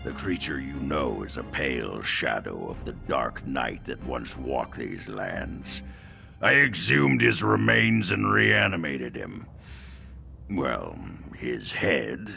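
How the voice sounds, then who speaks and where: An arrogant dark sorcerer for a fantasy game. The voice is low and heavily creaky, with a sharp, forceful edge. The delivery is contemptuous and menacing, over faint music. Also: male voice, exaggerated voice. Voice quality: gravelly. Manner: slow, haughty, contemptuous delivery. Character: sinister arrogant male chief necromancer of a queen. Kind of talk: fantasy video game acting.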